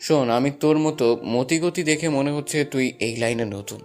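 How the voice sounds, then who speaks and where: A man's voice: mid-range voice, normal speed, soft background music, natural voice. A man playing a bold, urgent young man on a rescue mission in an audio story. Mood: commanding. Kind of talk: Bengali audio story acting.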